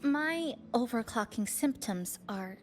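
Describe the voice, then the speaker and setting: A reserved young video game heroine with a fairly high voice. She speaks softly and slowly, with hesitant pauses and earnest restraint, over music. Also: lively intonation. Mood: hesitant, worried. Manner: soft, hesitant, measured, with pauses. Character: young woman, reserved, quietly grieving, earnest. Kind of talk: video game story dialogue.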